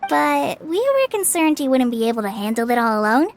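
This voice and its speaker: Eager little anime girl, really high voice, bouncing all over, music behind. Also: sing-song, a little fast. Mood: earnest. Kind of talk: anime game voice acting, scripted dialogue.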